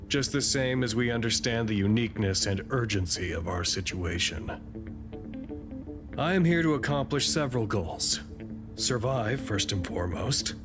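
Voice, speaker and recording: Actor as male negotiator, formal, measured, composed, audio drama, medium pitch, prominent background music. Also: close to an everyday voice.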